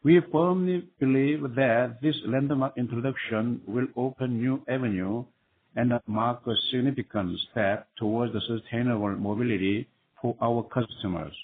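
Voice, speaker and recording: A man speaking as a managing director, giving formal, scripted earnings call remarks in halting phrases. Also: mid-range voice. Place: conference call. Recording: tinny phone line with clear noise.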